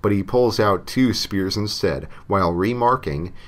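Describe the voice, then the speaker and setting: A man's voice: on the low side, unhurried. A man narrating a scripted comic horror story in a theatrical way, with emphatic delivery. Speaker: creepypasta narrator. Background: music.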